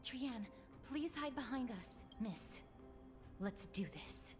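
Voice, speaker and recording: A woman voicing a calm, grave young woman in a video game, courteous and formal, with soft, measured, breathy delivery. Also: on the high side, over music. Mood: solemn. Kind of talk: anime-style game voice acting.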